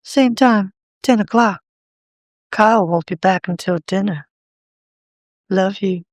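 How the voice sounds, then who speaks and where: A woman's voice: mid-range voice, takes it slowly, no room sound, natural voice. Woman playing scheming, insistent wife, dry close sound. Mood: conspiratorial. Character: scheming, assertive unfaithful wife. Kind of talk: radio drama acting.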